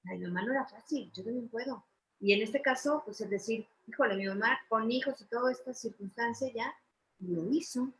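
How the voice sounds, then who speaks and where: A woman's voice: fairly high pitch, brisk, rough recording. A woman with a Mexican accent hosting a conversational interview in an encouraging, emphatic, motivational way. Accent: Mexican Spanish. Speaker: interview host.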